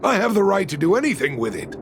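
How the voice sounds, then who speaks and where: A man's voice: high-pitched, big pitch swings, quick pace, background noise. An actor playing a weary, kindly miner and protective father in a video game, with a hesitant, placating manner. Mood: defensive. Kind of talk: animated video game voice acting.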